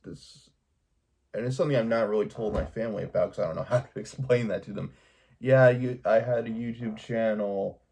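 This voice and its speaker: A man talking casually to his viewers in a personal vlog, hesitant and halting, often repeating his words. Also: lowish voice, creaky, warm tone. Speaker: video creator talking to viewers.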